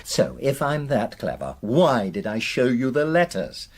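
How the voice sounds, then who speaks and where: A man's voice: medium pitch, sing-song, measured pace. A man acting in a naturalistic radio drama as a defensive, aggrieved husband, earnest and emphatic as he justifies himself. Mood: wounded, indignant. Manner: earnest, emphatic, self-justifying, hesitant under questioning. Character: aggrieved, defensive middle-class husband suspected of an affair.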